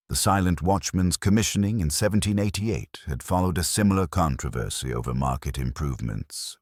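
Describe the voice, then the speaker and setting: Very deep-voiced man narrating a mystery. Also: no room sound, studio quality. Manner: formal, measured storytelling. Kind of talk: scripted audio mystery narration.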